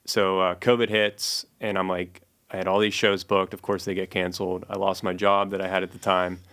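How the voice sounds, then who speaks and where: A man, a guest musician and producer, telling stories in a relaxed, casual interview chat with many fillers. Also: low voice, fairly quick.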